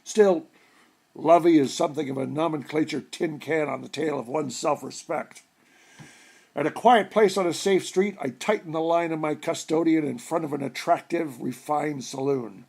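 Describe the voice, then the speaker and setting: A man reading a humorous short story aloud in an expressive storytelling style. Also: a little high, normal speed, gravelly. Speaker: story reader.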